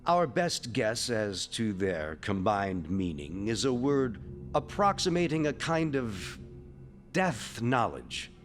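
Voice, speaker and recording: A man playing a learned scholar of ancient scripts in an audio drama, speaking in a measured, lecturing way. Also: fairly low pitch, very animated, soft background music. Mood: pensive.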